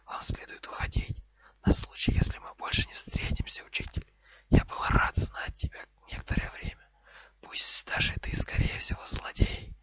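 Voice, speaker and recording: A man voicing an earnest, composed boy wizard in a storybook, in a deliberate, hissing whisper. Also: highs cut off, lightly acted. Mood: resolute, farewell. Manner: calm, clipped, deliberate.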